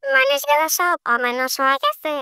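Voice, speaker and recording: A woman's voice: high voice, very animated, moderate pace, bright, forceful tone, dry recording, clean studio sound, full character voice. A woman voicing a kindly but indignant village woman in a cartoon, scolding and exclaiming.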